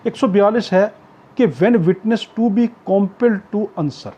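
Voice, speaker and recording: High-pitched, husky Pakistani male lecturer. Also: animated, extremely slow, warm tone. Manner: didactic, repeats and rephrases points. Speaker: law lecturer. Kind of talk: explanatory law lecture, reading out provisions then paraphrasing. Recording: dull, muffled lo-fi recording.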